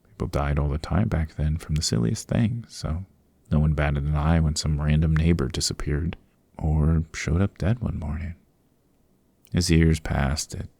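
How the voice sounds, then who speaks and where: A man playing a playful, theatrical vampire over a century old in a chatty ASMR roleplay, with a gravelly, husky voice and rambling asides. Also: very deep voice, darkish tone, no echo, heavily acted. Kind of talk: ASMR roleplay, close and conversational. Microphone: close, dry microphone.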